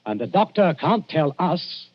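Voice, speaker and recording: An actor playing a blustering, shrewd Victorian London police inspector, gruff and emphatic, in 1940s radio style. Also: male voice, on the high side, sing-song, unhurried, heavily acted. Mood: shrewd, triumphant. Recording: dull, lo-fi vintage radio broadcast.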